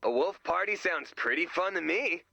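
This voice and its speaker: Bright, creaky, goofy sidekick. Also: male voice, high-pitched, lively intonation, normal speed, dry recording, highs cut off, exaggerated voice. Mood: wide-eyed. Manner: earnest, guileless. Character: naive, good-natured goofy male sidekick. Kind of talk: video game character voice acting.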